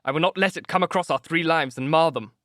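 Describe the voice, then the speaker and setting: A man reading aloud, voicing a gentle, serious painter who speaks earnestly and pleadingly. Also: fairly high pitch, fast talker, no room sound, clean studio sound, natural voice.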